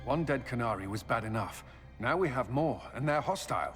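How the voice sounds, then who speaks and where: An actor playing a weary, dutiful military commander in a video game, speaking formally and with clipped exasperation. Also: male voice, medium pitch, expressive, brisk, a bit bright, faint music behind, natural voice. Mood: stern.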